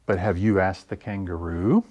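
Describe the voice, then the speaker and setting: Storybook animal, male reader: helpful, plain-spoken, apologetic, lowish dry voice. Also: steady tone, normal speed, dark tone, no echo. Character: assorted helpful animals, apologetic but friendly. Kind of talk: storybook characters read aloud for children.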